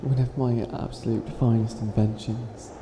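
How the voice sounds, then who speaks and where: A man's voice: medium pitch, level tone, normal speed, close to an everyday voice. A man playing a theatrical, flustered would-be evil witch in a comedic ASMR roleplay, stammering and stretching words for dramatic emphasis. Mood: proud, theatrical. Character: flustered self-styled evil witch, hopelessly helpful, theatrical. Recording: echoey room with audible background noise.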